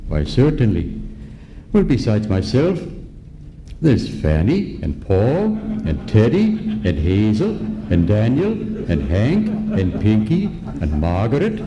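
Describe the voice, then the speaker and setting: A man's voice: on the low side, wildly swooping pitch, slow, highs cut off, crowd noise, full character voice. An actor playing a fussy, indignant elderly man in an old-time radio comedy, talking in a pompous, sputtering, old-fashioned way. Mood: fussy, proud. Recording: noisy live ballroom stage recording.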